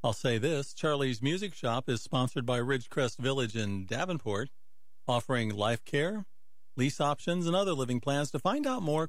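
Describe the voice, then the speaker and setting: A man with a gravelly voice hosting a radio music show, chatting casually with rambling, folksy asides to himself. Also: mid-range voice, no room sound, clean studio sound. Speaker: radio host.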